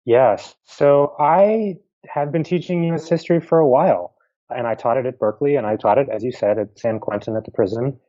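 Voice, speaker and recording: A man's voice: mid-range voice, brisk, dark tone, lo-fi sound. A middle-aged man with a Pennsylvania accent, a historian answering interview questions in a thoughtful, explanatory way with informal asides. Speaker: historian guest.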